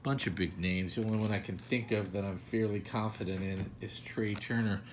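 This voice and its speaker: A man with a fairly low voice chats through a baseball card opening. He rambles in self-deprecating asides while he sorts cards at a table. A faint hum of room ambience sits behind him. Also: steady tone, normal speed. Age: older adult. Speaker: card collector channel host. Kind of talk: casual pack-opening commentary. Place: indoors at a table.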